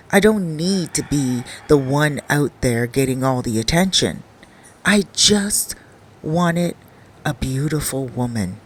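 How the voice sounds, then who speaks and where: A narrator reading a first-person confession as a shy, skinny young man who talks about his loneliness in a rambling, pausing way. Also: medium pitch, natural voice.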